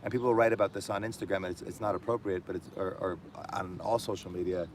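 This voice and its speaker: A man's voice: low-pitched, fairly even intonation, fast, a little hiss or hum. A man guest reflecting in casual conversation, thoughtful and hesitant, with many fillers. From diaspora Armenian. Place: indoors in Armenia.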